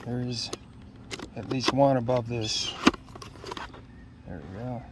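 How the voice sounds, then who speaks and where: A man casually talking through an unboxing, unscripted and halting, often musing aloud to himself. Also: mid-range voice, level tone, heavy background noise. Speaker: product unboxer.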